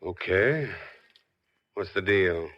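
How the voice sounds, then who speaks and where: Shrewd private eye, lowish forceful voice, clipped delivery, old radio drama, muffled hissy recording. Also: male voice, animated, takes it slowly, dry recording. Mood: guarded. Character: shrewd, cool-headed private detective, a man. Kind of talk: old-time radio detective drama acting.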